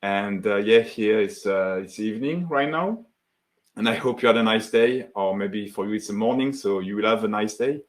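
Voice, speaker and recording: A man's voice: lowish voice, moderate pace. French-accented man hosting a live webinar. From France. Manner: friendly, greets chat viewers by name, many fillers.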